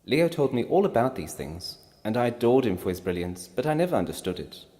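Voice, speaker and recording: A man's voice: medium pitch, big pitch swings, brisk, warm tone, pitched above the performer's own voice, natural voice. A man voicing a proud, anguished, defiant wife in a storybook reading, impassioned and emphatic, trembling with feeling. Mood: proud, wistful. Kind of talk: dramatic storybook character reading.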